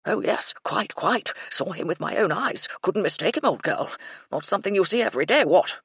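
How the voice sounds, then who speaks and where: Woman acting out old British colonel, audiobook character, blustering, excitable, clipped military manner, husky, animated. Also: sits high, normal speed, no room sound, clean studio sound, voice pushed up, heavily acted. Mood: excited, conspiratorial. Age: older. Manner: clipped, blustery upper-class military speech, stammers when startled.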